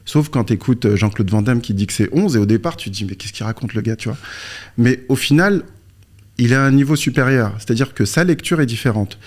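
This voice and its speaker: A man talks as a guest coach in an interview, with a mid-pitched voice. He explains things informally, often asking a question and answering it himself. His delivery is conversational, like someone telling his own story. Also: fairly quick, a little mellow. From born in Morocco, moved to France aged three or four. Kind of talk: conversational explanation with personal anecdotes.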